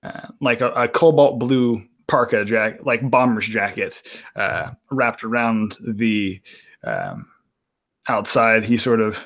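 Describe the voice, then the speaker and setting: A man's voice: lowish voice, lively intonation, slow, a bit bright. A man playing in a tabletop role-playing game, talking casually and hesitantly as he searches for words. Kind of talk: casual improvised character description.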